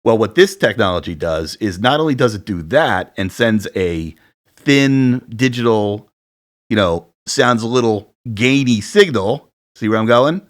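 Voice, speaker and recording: A man in his forties with a deep voice, co-hosting a podcast and chatting casually from his home setup, rambling a little. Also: lively intonation, measured pace. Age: 40s. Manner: chatty, rambling, self-deprecating about sound gear. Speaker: podcast co-host. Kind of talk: casual chat. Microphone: studio dynamic mic at home.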